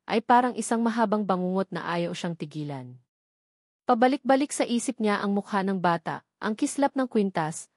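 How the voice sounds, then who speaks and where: A woman's voice: mid-range voice, darkish tone, no room sound, studio quality. A woman storyteller giving a scripted, dramatic narration of a romance story.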